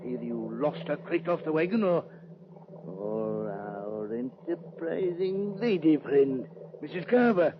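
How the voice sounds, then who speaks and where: An actor playing an irascible, scheming smuggler boss, haughty and sputtering with precise diction, in a radio drama. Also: male voice, fairly high pitch, lively intonation, measured pace. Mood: irritated, scornful. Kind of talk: old-time radio villain acting. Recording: noisy, dull old radio recording.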